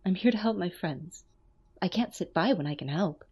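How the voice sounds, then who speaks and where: A woman playing a gentle, loyal magical healer with a husky voice in an audio drama. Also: fairly low pitch, animated, normal speed. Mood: concerned, resolute.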